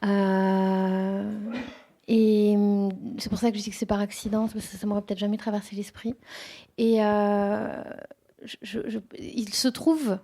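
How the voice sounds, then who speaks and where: Woman poet with a warm, dark tone, reflective and halting at a bookshop talk. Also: medium pitch, level tone. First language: French. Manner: thoughtful, hesitant, many restarts. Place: bookshop event room.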